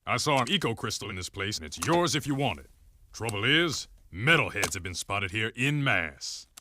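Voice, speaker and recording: A man's voice: fairly low pitch, very animated, normal speed, sharp tone, slight background noise. A man voicing a tough, streetwise mercenary in a video game, cocky and swaggering. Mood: gleeful, swaggering. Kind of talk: video game cutscene acting.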